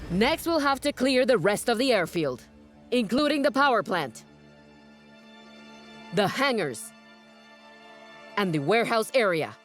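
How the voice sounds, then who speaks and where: Blunt, animated military officer. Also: female voice, medium pitch. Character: blunt, skeptical female military field officer. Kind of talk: scripted video game acting.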